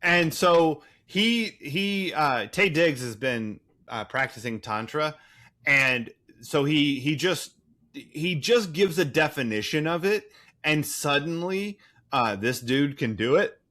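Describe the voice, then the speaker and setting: Bright-voiced man, rambling and irreverent. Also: fairly high pitch, expressive, slow pace. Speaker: podcast co-host. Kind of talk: casual movie recap chat.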